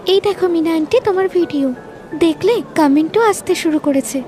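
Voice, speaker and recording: Lady doing an earnest young girl in a story cartoon, really high pitched, encouraging. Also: moderate pace, mellow, soft background music. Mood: cheerful. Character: earnest young woman, eager social media food fan. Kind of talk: dubbed moral-story cartoon.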